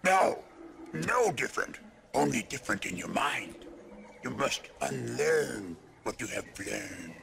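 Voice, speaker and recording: An ancient little creature master from a film, his voice deeply gravelly and croaking, yet bright and edged. He drawls his words slowly, with lines that rise and fall widely, as if lecturing a stubborn pupil. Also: very animated, slight background noise, heavily acted. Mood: stern. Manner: slow, backwards-ordered stern teaching. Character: tiny ancient wise male creature master.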